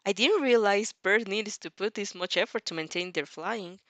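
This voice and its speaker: A woman's voice: mid-range voice, moderate pace, a bit bright, no echo, muffled recording, close to an everyday voice. A woman voicing a naive, eager young vampire princess who is earnest, wide-eyed and easily amazed, in an audio story.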